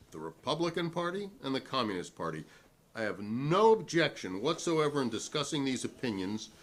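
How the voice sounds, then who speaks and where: A man's voice: medium pitch, fairly bright tone. A man playing a defiant, principled newspaperman who testifies formally and argumentatively at a 1958 hearing in a dramatic reading.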